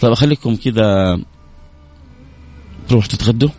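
A man's voice: lowish voice, brisk, darkish tone. A man hosting a radio show, chatting casually and joking with his listeners as he signs off. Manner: chatty, joking, laughs often. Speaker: radio host.

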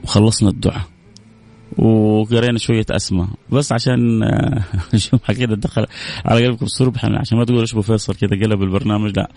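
Radio guy joking around with listeners, fast, gravelly voice. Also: fairly low pitch, steady tone, dark tone, dry recording. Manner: chatty, joking, laughs often. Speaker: radio host. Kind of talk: casual joking sign-off.

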